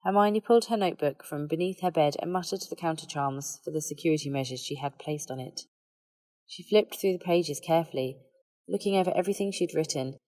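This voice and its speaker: A woman's voice: deep voice, mellow, no echo. A woman reading a story aloud as scripted audiobook narration.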